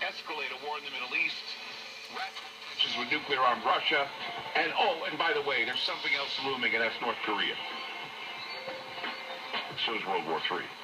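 Male announcer, lively pitch swings, punchy scripted read over loud music, played on an old radio. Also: normal speed, pauses often, low-quality recording. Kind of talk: dramatic scripted radio narration.

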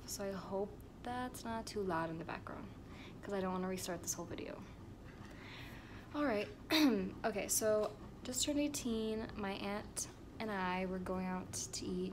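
Chatty teenage girl with air-con hum. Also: on the low side. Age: late teens. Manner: chatty, rambling, with frequent fillers and restarts. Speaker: vlogger. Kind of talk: casual storytime vlog. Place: bedroom at home with air conditioning running. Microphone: phone or camera at arm's length. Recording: air conditioner hum in the background.

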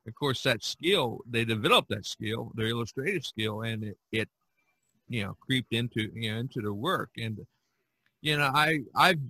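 A man hosting a casual podcast discussion, talking haltingly and often repeating his words. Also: mid-range voice, pushed and bright, no room sound, low-quality recording. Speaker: podcast host.

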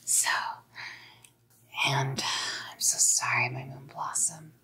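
A young woman playing an obsessive, menacingly sweet psycho girlfriend in a whispered ASMR roleplay, cooing and softly threatening. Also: extremely low pitch, steady tone, takes it slowly, breathy edge, heavily acted. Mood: sweetly excited. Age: young adult. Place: small dim room indoors. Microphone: close ASMR mic.

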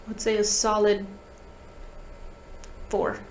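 A woman's voice: mid-range voice, completely flat delivery, slow, a little hiss or hum. Casual woman guest in an echoey room. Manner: informal, slangy, conversational. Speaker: guest, talk box musician. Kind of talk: casual interview chat, storytelling. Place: small podcast studio, indoors. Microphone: desk podcast microphone.

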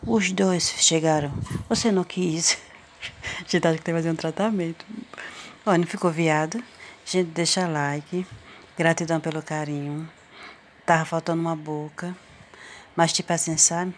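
A woman's voice: low voice, steady tone, measured pace, long pauses. A middle-aged woman, chatting through a cleaning tutorial with slangy, teasing jokes. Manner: jokey, slangy and teasing, playful asides. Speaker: home cleaning vlogger. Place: home kitchen, voice heard while cleaning a stove. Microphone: phone camera held close over the stovetop. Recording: loud background noise.

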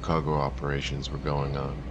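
A man's voice: a bass voice, completely flat delivery, moderate pace, lo-fi sound, noisy background. A man in his thirties narrating his ship's video diary in an easygoing, conversational voice-over.

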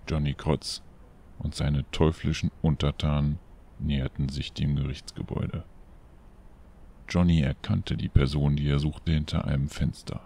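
A man's voice: very deep voice, little pitch movement, measured pace, gravelly, mellow, breathy edge, full character voice. A man playing the ominous storyteller of a pulp crime tale in a scripted German audio drama. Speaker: narrator.

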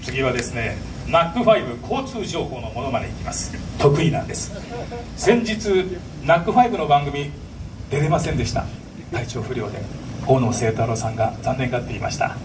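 A man's voice: medium pitch, animated, brisk, background noise. A man performing live comedy stage patter as an impressionist, addressing the audience like a showman. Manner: showman, repeatedly prompting the audience for applause. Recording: distant stage PA with music.

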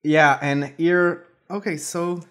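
Fairly high-voiced man hosting prank calls. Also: slow, clear, resonant tone. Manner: bossy and pushy on prank calls, relaxed between them. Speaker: prank call show host. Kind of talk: casual podcast chat.

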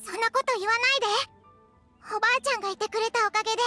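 A woman's voice: extremely high pitch, steady tone, very fast, bright, forceful tone, faint music behind. A woman voicing an earnest, affectionate young girl in a video game. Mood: pleading, tender. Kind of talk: video game dub acting.

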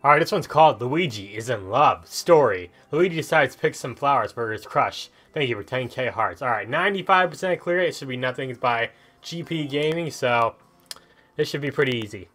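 A man with an average-pitched voice hosts a casual gaming video. He talks through the play as it comes to him, in a joking, rambling way. Video game music runs behind his voice. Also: lively intonation, a little fast, a bit bright. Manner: joking, rambling, thinking aloud. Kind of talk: casual let's play commentary.

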